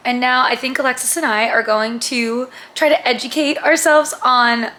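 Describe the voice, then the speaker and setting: A woman's voice: high-pitched, steady tone, normal speed, pushed and bright. A woman in her twenties chatting casually to the camera as a lifestyle vlogger, upbeat and friendly, with lots of "like" and "um". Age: 20s. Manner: chatty, filler-heavy with frequent "like" and "um". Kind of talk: casual vlog chat to camera.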